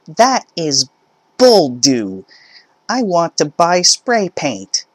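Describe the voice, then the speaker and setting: A loud, high-pitched entitled mother who scolds and demands without letting up, as a male storyteller puts her on. Her voice is pushed up well above his own and sounds strained and pinched, as if put on. The recording is dry and close. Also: sing-song, slow pace, dry recording. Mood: indignant. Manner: indignant, demanding, shouting. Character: shrill entitled middle-aged mother shopper.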